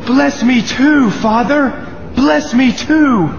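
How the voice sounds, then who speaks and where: A man voicing an impulsive hunter son in a Bible cartoon, drawn-out and melodramatic. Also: very high voice, vocal fry, heavily acted. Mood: anguished pleading. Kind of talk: Bible cartoon story acting. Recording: dull audio with noisy background.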